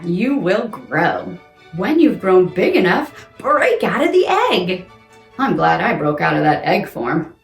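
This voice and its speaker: A woman presenting playful science lessons and read-alouds for children in an exaggerated, questioning, exclamatory way. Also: mid-range voice. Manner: exaggerated, exclamatory, asks questions of young listeners. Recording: roomy echo with music underneath. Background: music.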